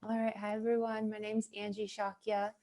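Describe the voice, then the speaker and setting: A woman's voice: mid-range voice, completely flat delivery, normal speed, mellow. A young woman from California, a master's student, introducing herself in an easygoing way on a video call. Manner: easygoing, with ums. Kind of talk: casual self-introduction.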